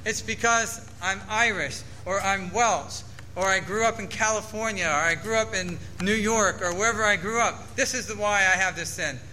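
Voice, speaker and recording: A man's voice: sits high, normal speed, pushed and bright, a little hiss or hum, low-quality recording. A man preaching a sermon to his church, earnestly reading scripture aloud and explaining it. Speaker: pastor.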